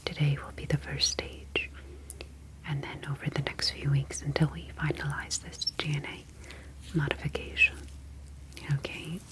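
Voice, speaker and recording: A woman playing a calm, clinical ocean alien scientist in an ASMR roleplay, speaking softly and deliberately to reassure a patient. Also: low-pitched, low-quality recording. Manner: soft, slow, deliberate, reassuring.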